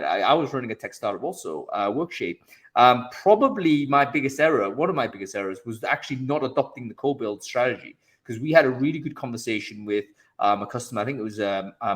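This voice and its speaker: A male podcast host with a mid-pitched voice. He talks casually and eagerly, circling back to restate his guest's points with plenty of "um"s and restarts. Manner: enthusiastic, rephrases and sums up guest's points. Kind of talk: casual interview chat.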